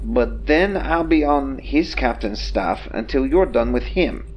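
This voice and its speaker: A man's voice: mid-range voice, lively intonation, measured pace, highs cut off, slight background noise, natural voice. A man playing a nervous, theatrical starship engineer in a sci-fi audio drama. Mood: reassuring. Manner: theatrical, sprinkles in Spanish exclamations.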